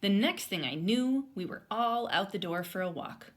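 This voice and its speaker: A woman voicing an indignant young boy bear who narrates a children's storybook in an emphatic, expressive way. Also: mid-range voice, moderate pace, close to an everyday voice. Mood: dramatic, eager. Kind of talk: animated children's story read-aloud.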